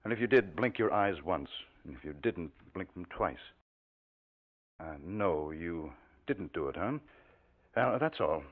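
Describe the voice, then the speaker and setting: An actor playing a calm, courteous hospital doctor who speaks gently and with measured, murmuring hesitation in an old radio drama. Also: male voice, sits low. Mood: calm, inquiring. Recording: tinny, narrow-band old radio recording.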